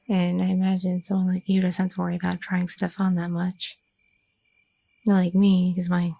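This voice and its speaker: A young goth girlfriend, acted by a woman in an ASMR roleplay, chatty and teasingly curious. Her voice is soft-edged and mellow, with a husky grain and a crackle of vocal fry. She rambles casually in everyday slang. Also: mid-range voice. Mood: musing, mildly exasperated. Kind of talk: intimate ASMR audio roleplay.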